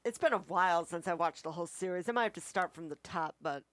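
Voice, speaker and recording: A woman co-hosts a casual review chat, giving her take on what she has watched. Her voice sits slightly low for a woman. The recording is dry, with hardly any room sound. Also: quick pace, fairly bright tone, no room sound. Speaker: co-host reviewer.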